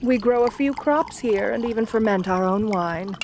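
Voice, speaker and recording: A woman acts out an elegant vampire countess with a fairly high voice. She is assertive and flirtatious, doting on the listener with genteel manners in an intimate ASMR roleplay. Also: normal speed, background noise, close to an everyday voice. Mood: gracious, charming. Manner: genteel, doting, uses pet names.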